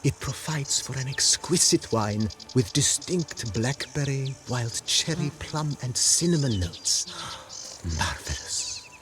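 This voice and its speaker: An actor playing a courteous, proud majordomo in a video game, giving a guided tour in formal, precise, politely ornate speech. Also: male voice, medium pitch, animated, takes it slowly, background noise. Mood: courteous, refined. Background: music.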